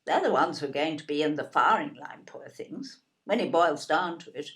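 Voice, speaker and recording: An actress playing a genteel, fussy older English grandmother, with a polite, gushing, brisk manner in an audio play. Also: low-pitched, lively intonation, a bit bright. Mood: polite, chatty.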